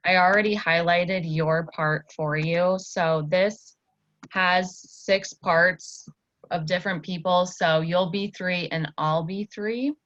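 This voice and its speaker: A woman teacher speaks at a slow pace in a lowish voice, guiding a pupil through a reading lesson. She explains things simply and with encouragement. The sound is slightly dull. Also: steady tone, fairly bright tone, dry recording. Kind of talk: instructional talk and story read-aloud.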